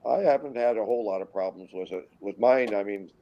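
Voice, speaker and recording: Older Minnesota man in his 60s, low mellow voice, rambling and halting, dull lo-fi sound. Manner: rambling, halting, with frequent restarts. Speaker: guest pilot. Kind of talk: casual storytelling interview.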